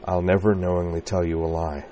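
Male reader voicing an earnest devoted young knight, deep and soft-spoken. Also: level tone, fairly quick, slight background noise, close to an everyday voice. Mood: tender, earnest. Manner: soft, earnest, low-key delivery.

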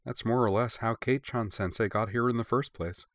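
Guy doing a glum earnest teen girl in an audiobook, plaintive, pitched up. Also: brisk, dry recording, clean studio sound, natural voice. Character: earnest, emotional, glum teenage schoolgirl. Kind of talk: audiobook character dialogue.

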